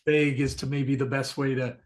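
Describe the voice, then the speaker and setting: A man's voice: medium pitch, flat delivery. A man hosting an interview, upbeat and affirming toward his guest. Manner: upbeat, affirming, quick to laugh. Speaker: interview host.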